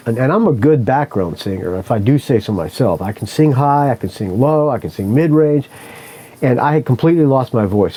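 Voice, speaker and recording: A man's voice: medium pitch, dark tone, poor audio. A man hosting a podcast, telling a story in a casual, rambling way, correcting himself often and swearing freely. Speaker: podcast host.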